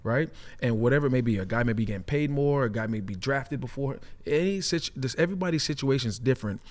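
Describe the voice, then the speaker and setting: A man's voice: medium pitch, expressive, quick pace. A man talking casually as an ex-player turned analyst, making emphatic points and often checking in with "right?". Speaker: former player and coach, football analyst. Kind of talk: casual sports talk.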